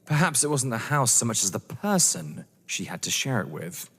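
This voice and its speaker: Man playing an earnest young Englishman, echoey, expressive. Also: mid-range voice, moderate pace, gravelly, breathy edge, heavily acted. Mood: wounded, defensive. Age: young adult. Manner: polite, earnest, defensive. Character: earnest, proud, easily offended young English lover. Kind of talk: theatrical audio drama dialogue. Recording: echoey hall-like reverb.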